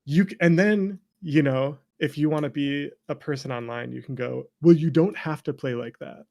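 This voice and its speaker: Gravelly, higher-pitched man chatting casually. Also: normal speed. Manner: animated opinionated rambling, swears freely. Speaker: interview guest, game developer. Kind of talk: casual chat about video games.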